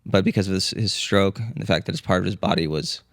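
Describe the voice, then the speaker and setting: A man's voice: sits low, fast talker, dry recording, clean studio sound. A man recounting events casually as a podcast co-host, hesitant and often repeating his words. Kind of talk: casual conversational storytelling.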